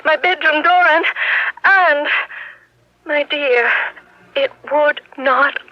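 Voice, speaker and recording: A woman playing a high-strung, panicky actress in a radio drama, pleading breathlessly and stammering. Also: takes it slowly, no echo. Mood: agitated. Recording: dull lo-fi radio broadcast recording.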